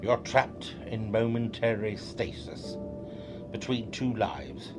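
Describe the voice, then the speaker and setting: A man's voice: on the low side, animated, takes it slowly, music in the background. A man playing a mysterious, solemn, knowing guide in an audio drama.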